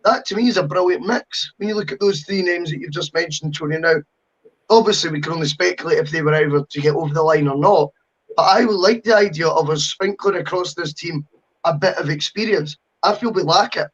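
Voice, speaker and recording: A man's voice: on the high side, a little fast, husky. A man hosting a casual football podcast, talkative and opinionated with a joking streak. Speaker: podcast host. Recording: dull lo-fi audio.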